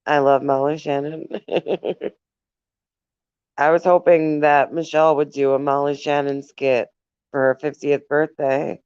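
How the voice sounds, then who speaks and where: Slow, giggly woman hosting a live stream. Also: deep voice, little pitch movement, darkish tone, smooth, dry recording, dull audio. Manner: giggly, laughs often between remarks; affectionate toward viewers. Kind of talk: casual live stream chat, reading comments aloud.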